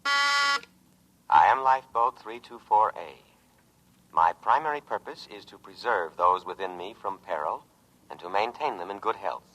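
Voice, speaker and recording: An actor playing a solemn, protective talking lifeboat machine in a 1950s radio drama, speaking in formal, measured phrases. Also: male voice, fairly low pitch, big pitch swings, bright, forceful tone, full character voice. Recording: dull old radio recording.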